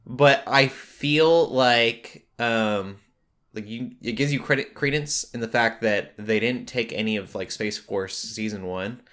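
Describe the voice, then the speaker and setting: A man's voice: mid-range voice, unhurried, fairly bright tone. A man hosting a podcast, chatting casually and conversationally about what he has watched. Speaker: podcast host. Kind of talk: casual chat about shows and films.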